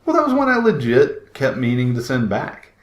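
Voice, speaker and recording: A man's voice: mid-range voice, wildly swooping pitch, moderate pace. A young adult man co-hosting a podcast in casual chat, rambling, blunt and sometimes sweary. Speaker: podcast co-host. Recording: roomy, echoey voice recording.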